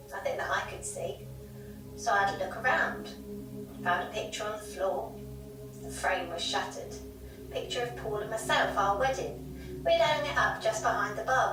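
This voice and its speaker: A woman's voice: mid-range voice, lightly acted. A woman playing an insistent, fearful pub landlady, telling of a haunting emphatically in an audio drama. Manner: emphatic, dramatic, repeats herself. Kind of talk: audio drama storytelling in an interview. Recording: very echoey noisy room, distant mic.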